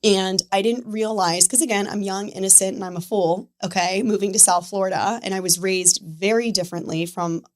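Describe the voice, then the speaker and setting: Woman, thirties, animated chatty storyteller, lots of fillers. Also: medium pitch, fairly quick, few pauses. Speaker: podcast guest. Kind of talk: casual storytelling conversation.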